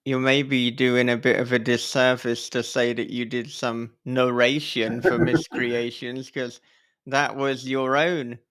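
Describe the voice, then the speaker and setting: A man's voice: medium pitch, level tone, measured pace, a bit bright, clear, resonant tone. A man hosting a podcast, interviewing in a casual, chatty and slightly wry way.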